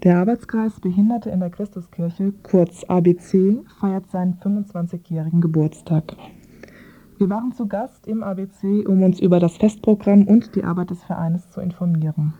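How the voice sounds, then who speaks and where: A woman's voice: on the low side, normal speed. A woman reporter narrating a scripted radio magazine piece. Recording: thin, phone-like lo-fi radio sound.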